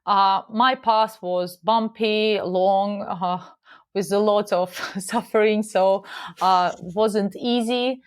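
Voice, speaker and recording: A woman from Russia speaking with a Russian accent, a guest talking in a halting but lively way in a casual interview. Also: medium pitch, clear, resonant tone.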